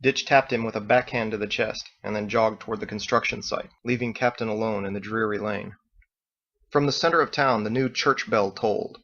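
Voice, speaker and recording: Gravelly male audiobook narrator. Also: lowish voice, steady tone, a little fast, fairly bright tone, lo-fi sound. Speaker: author reading own story.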